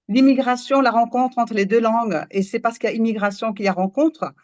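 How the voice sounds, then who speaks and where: A woman's voice: a little high, fairly even intonation, fairly quick, darkish tone, no echo. Moroccan-born woman storyteller, dull dry sound. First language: Moroccan Arabic. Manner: earnest, searching for words, reflective. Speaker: storyteller and workshop leader. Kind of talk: panel discussion. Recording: dull dry remote audio.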